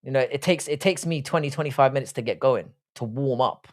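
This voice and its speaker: A man's voice: mid-range voice, fairly quick. A man in his early forties with a British accent, hosting a casual livestream chat in a blunt, crude, slangy, jokey way. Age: early 40s. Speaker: livestream host. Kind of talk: casual chat, reading and answering live comments.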